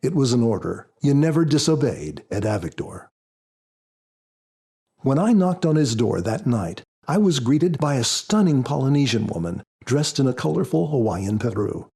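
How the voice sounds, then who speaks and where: A man reading scripted first-person audiobook narration in a measured storytelling manner. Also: medium pitch, sing-song, vocal fry, dark tone, slightly rough. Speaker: author narrating his audiobook.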